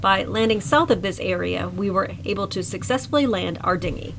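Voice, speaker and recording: A woman reading a calm, scripted voice-over for a sailing travel vlog. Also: mid-range voice, brisk, gravelly, slight background noise. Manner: calm, informative. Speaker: vlog narrator.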